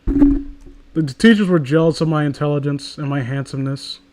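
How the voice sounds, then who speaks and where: A man's voice: on the high side, lively intonation, moderate pace, darkish tone. A man co-hosting with casual, crude banter, talking in a mock-boastful way. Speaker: podcast co-host.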